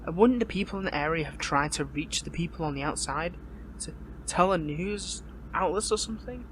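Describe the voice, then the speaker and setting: A man playing an earnest, conflicted young captured hero in an amateur audio roleplay, speaking haltingly, with pleading emotion. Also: on the high side, a bit bright, slight background noise, natural voice, poor audio. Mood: disbelieving. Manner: halting, emotional, pleading, repeats and breaks off words. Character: earnest captured young male superhero, shaken, conflicted and lovesick.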